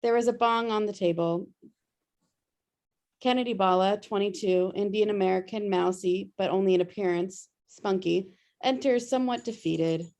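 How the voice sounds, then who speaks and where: A woman's voice: medium pitch, normal speed, a little mellow. A woman narrating stage directions at a script table read. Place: remote video call.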